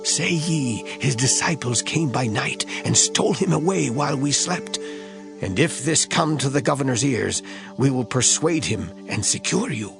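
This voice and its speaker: An actor playing a scheming chief priest in a dramatized scripture reading, speaking formally and insistently. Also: male voice, mid-range voice, animated, moderate pace, creaky, music in the background. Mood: conspiring. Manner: formal, archaic, urging.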